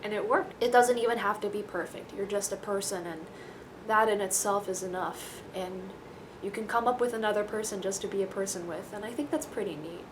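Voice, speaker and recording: A woman's voice: mid-range voice, fairly quick, noisy background. A young woman from Taiwan talks casually to camera in a rambling, self-deprecating, dryly joking way. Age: young adult. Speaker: vlogger.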